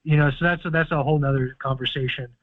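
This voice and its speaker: A man's voice: on the high side, steady tone, fast, no echo. Man explaining over a tinny phone line. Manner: confident, explaining at length with fillers. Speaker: host of a call-in conference line. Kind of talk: casual call-in advice talk. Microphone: phone or conference call.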